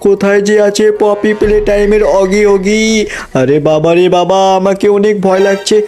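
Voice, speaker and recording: A man's voice: high voice, level tone, moderate pace, a little mellow, soft background music. Man doing exclamatory gameplay commentary. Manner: addresses viewers constantly, exclamatory. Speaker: gaming video host. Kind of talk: excited gameplay storytelling commentary.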